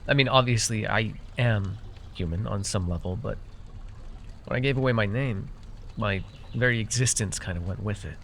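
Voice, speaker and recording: A man's voice: fairly low pitch, moderate pace, vocal fry, fairly bright tone, a little hiss or hum. A man playing a proud, easily exasperated magical herbalist in a fantasy roleplay, talking straight to the listener with theatrical airs and sheepish, stammering asides. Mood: pensive, confiding. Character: proud, easily exasperated young magical herbalist who gave away his name.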